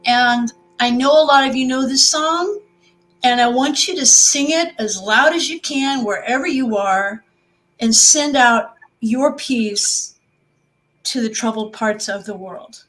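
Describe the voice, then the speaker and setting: Slow-paced, high-voiced woman musician. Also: a bit bright, poor audio. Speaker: guest musician at a spiritual center service. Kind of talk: casual talk introducing a song, and singing.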